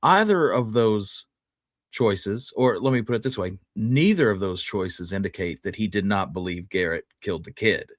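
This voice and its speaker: A man's voice: lowish voice, lively intonation, moderate pace, no echo, studio quality. A man co-hosting a history show, casually arguing his opinion and reasoning aloud point by point in informal words.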